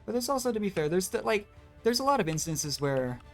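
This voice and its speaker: A man's voice: high-pitched, sing-song, fairly quick. A young man giving casual, rambling live commentary as a game streamer. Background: game music.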